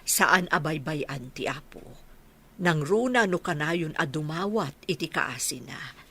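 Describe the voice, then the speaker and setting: A woman playing an aggrieved older mother in a radio drama, tender but indignant, with a husky voice. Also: deep voice, animated, unhurried, sharp tone, slight background noise, exaggerated voice.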